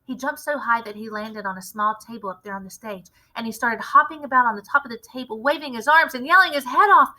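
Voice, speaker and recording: A woman reading a children's story aloud as an expressive storyteller. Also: fairly high pitch, fast, hardly any pauses, rough recording. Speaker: read-aloud storyteller, teacher.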